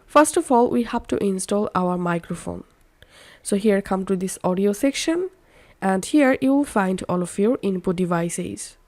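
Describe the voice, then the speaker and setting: A woman narrating a how-to software tutorial as its host, instructional with slightly halting phrasing. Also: medium pitch. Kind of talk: step-by-step software tutorial voice-over.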